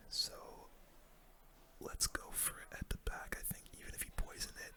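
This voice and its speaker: A gaming video host giving relaxed, conversational ASMR commentary on their own play in a soft, breathy whisper. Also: normal speed.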